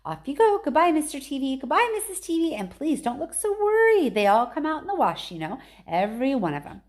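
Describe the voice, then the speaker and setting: A woman voicing an eccentric, excitable male chocolate-factory owner in a children's storybook, with polite, breezy, dismissive patter. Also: high-pitched, fairly quick, pitched above the performer's own voice. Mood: cheery.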